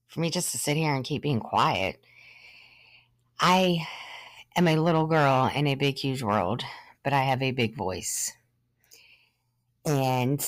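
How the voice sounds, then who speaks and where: Earnest woman in her thirties, very deep voice. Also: no echo. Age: 30s. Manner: earnest, measured, with pauses. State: short of breath at times. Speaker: podcast host. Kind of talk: personal testimony monologue.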